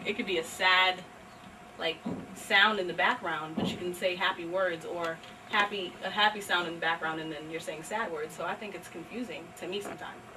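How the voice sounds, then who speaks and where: A woman's voice: lowish voice, background noise. A woman performer speaking to a live audience at a poetry event, recorded live in a library room. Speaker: actress performing a poetry reading and leading discussion. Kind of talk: dramatic, half-sung poetry recital and casual audience discussion. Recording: live event, distant mic, piano music behind.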